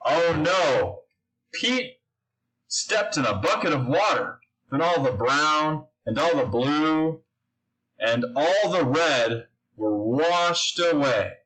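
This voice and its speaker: A man's voice: fairly high pitch, expressive, slow pace, a bit bright, low-quality recording. A man reading a children's picture book aloud, speaking simply and putting questions to his young listeners. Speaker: story reader.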